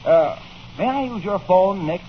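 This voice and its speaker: A man's voice: sits high, expressive, unhurried. An actor playing a genial, eager salesman in an old radio comedy, with a hearty announcer's polish. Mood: hearty, friendly. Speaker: announcer and pitchman. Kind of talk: 1930s radio comedy acting. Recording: muffled old radio recording, faint hiss.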